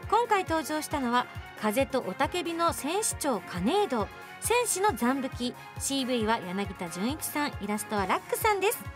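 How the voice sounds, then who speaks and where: A woman's voice: sits high, very animated, normal speed, few pauses. A woman hosting a radio show, moving between casual chat and scripted announcements. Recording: music behind the voice.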